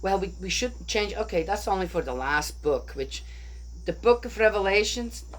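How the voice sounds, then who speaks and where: A woman's voice: medium pitch, moderate pace. A woman talking casually through a bible story, thinking aloud and often asking her listeners "right?". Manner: chatty, rhetorical, keeps asking "right?". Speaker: bible study speaker.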